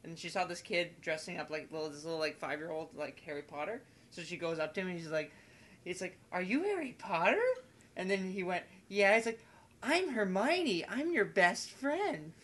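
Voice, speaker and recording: A young man in casual, rambling podcast chat with friends, telling stories in an excitable, giggly way and acting out what people said. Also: high-pitched, moderate pace. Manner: excitable, giggly storytelling with acted-out quotes. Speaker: podcast host and video maker.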